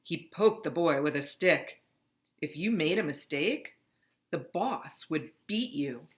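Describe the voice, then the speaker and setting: A woman reading a children's picture book aloud in an expressive, dramatic narrating style. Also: low-pitched, slow pace. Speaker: storybook reader.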